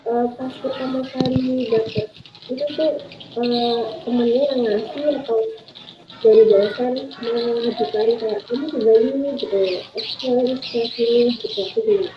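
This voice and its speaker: A woman's voice: a little high, fairly even intonation, moderate pace, roomy sound, dull audio, noisy background. A young woman, asking casual interview questions in a hesitant, informal way. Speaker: interviewer, student host.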